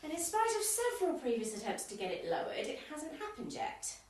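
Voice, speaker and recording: A gossipy committee woman with a complaint to air, acted by a woman in a radio comedy. Her high voice is lively and arch, set in a very echoey room. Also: brisk, recorded from a distance. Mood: complaining. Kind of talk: amateur radio comedy play acting. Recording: very echoey, off the mic.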